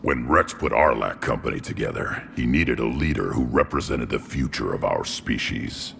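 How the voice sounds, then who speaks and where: An actor voicing a young male krogan warrior, brash and proud, with a gravelly voice and a gruff, blunt way of talking. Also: very deep voice, expressive, moderate pace, a bit bright, long reverb tail, a little hiss or hum, heavily acted. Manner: gruff, blunt soldier talk. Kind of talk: video game voice acting.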